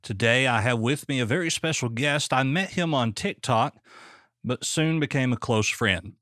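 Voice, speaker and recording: A man hosting a talk show and interviewing a guest with short, relaxed questions. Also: mid-range voice, normal speed, dry recording, studio quality. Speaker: podcast host.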